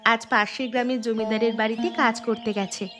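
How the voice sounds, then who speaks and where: A woman's voice: on the high side, flat delivery, moderate pace, music in the background, natural voice. A woman voicing a kind, humble, poor village mother in a cartoon story. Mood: kind, modest.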